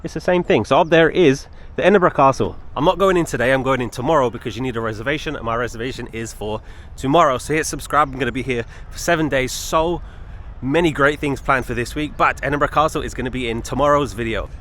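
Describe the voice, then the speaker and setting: English guy doing a casual travel vlog outside, medium pitch voice, really keen, bit of street noise behind. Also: fast talker, a bit bright. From England. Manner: enthusiastic, repeats intensifiers. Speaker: travel vlogger. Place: outdoors on city streets and in a park.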